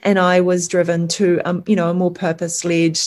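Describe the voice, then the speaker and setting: A New Zealand woman in her forties, answering interview questions in a fairly low voice. She talks earnestly, often pausing on fillers and restarting her sentences. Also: flat delivery, darkish tone. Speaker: guest. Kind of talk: conversational interview.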